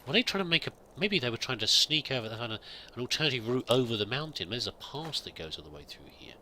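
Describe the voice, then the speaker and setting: A man's voice: medium pitch, very animated, fast, bright, forceful tone, a little hiss or hum, poor audio. A man thinking aloud while roleplaying a pilgrim in a video game, musing and hesitant with many restarts. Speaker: let's play gamer roleplaying a pilgrim. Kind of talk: in-character gameplay commentary.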